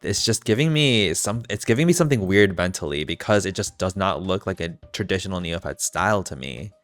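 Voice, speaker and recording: Deep-voiced young man with vocal fry. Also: lively intonation, a little fast, dry recording, faint music behind. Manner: chatty, campy, self-mocking asides. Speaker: gaming video host. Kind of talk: casual rambling commentary while playing.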